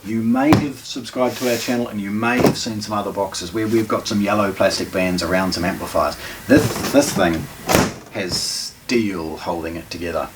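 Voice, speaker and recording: A man gives casual, unscripted unboxing commentary, halting and matter-of-fact as he thinks aloud while handling things. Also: fairly low pitch. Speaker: presenter of a hi-fi shop's unboxing video. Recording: distant mic, noisy room with handling clatter.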